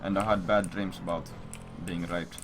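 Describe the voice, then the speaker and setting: A man's voice: low-pitched, little pitch movement, normal speed, noisy background. A man livestreaming, telling a story in casual, rambling chat with blunt, repetitive, profanity-heavy talk.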